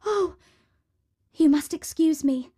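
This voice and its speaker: A woman's voice: very high-pitched, sing-song, unhurried, voice pushed up, exaggerated voice. A woman voicing a polite, sweet young servant girl in a fairy tale, speaking earnestly. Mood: flustered.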